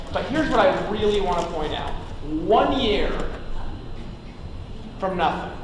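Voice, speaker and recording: Male motivational speaker on stage, high and slightly hoarse, rambling and punchy; distant mic in a long-ringing hall. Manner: rambling, punchy, keeps asking "right?". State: losing his voice. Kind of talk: casual motivational stage talk. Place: large event room on a stage. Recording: echoey hall from far off, noisy.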